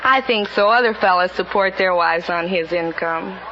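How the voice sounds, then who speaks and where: A woman's voice: on the low side, normal speed, sharp tone. A polite woman in her twenties giving short answers as a contestant on a vintage radio quiz. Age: 20s. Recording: muffled, very noisy 1950 radio broadcast. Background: laughing studio audience.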